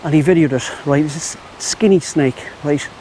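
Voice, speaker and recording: A man's voice: on the high side, normal speed, dark tone, slight background noise, low-quality recording. Chatty Irish man vlogging outdoors. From Ireland. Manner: rambling, chatty, addresses viewers as "my friends". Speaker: travel vlogger. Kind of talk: casual travel vlog to camera. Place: outdoors in Thai countryside. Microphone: lavalier mic.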